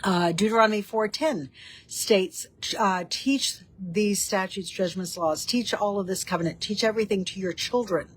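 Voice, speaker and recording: A woman's voice: mid-range voice, normal speed, breathy edge. A woman with a stuffy, nasal voice from a cold, talking through a study of ancient texts. She speaks earnestly and unhurriedly, stopping on ums and restarting her sentences as she thinks aloud. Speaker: host of a Torah study show. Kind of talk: informal teaching talk.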